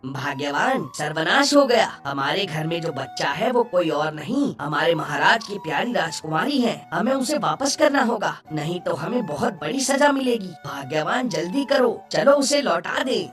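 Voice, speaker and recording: A woman's voice: lowish voice, expressive, moderate pace, hardly any pauses, natural voice. A woman voicing a poor, anxious village fisherman and father in a Hindi children's cartoon, with dramatic, urgent delivery. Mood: alarmed. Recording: slightly distant, faint music behind.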